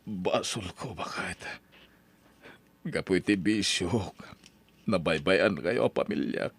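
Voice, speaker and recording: A man's voice: low voice, husky, heavily acted. A man playing a proud, regretful father with a heavy, brooding manner in an Ilocano drama. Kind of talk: Ilocano radio-style drama acting.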